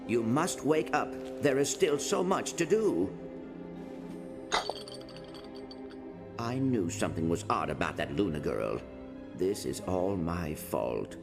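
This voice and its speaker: An actor playing a small, polite, earnest robot sidekick in a game cutscene, speaking formally and carefully. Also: male voice, deep voice, sing-song, unhurried, creaky, heavily acted. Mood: worried, urging. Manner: formal, careful wording without contractions. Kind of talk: video game cutscene acting. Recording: music behind the voice.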